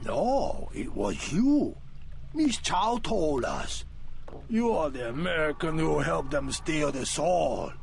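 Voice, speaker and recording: A man's voice: on the high side, sing-song, slow pace, gravelly, a little hiss or hum, exaggerated voice. A man playing a stern, suspicious triad fighter in a video game, with an accusing, emphatic manner.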